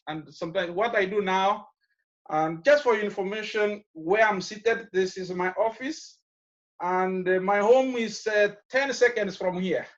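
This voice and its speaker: A man with a Kenyan accent talks from his office on a video call. His voice sits high for a man, and the audio comes through muffled. He rambles loosely, with lots of fillers. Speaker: alumnus speaking at a graduation. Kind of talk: casual advice speech on a video call.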